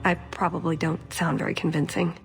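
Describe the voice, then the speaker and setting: A woman playing an earnest, quick-witted, cheeky young elf agent in a video game. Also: sits low, flat delivery, creaky, long reverb tail, over music. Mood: earnest, reflective. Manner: earnest, lightly playful. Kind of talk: video game character acting.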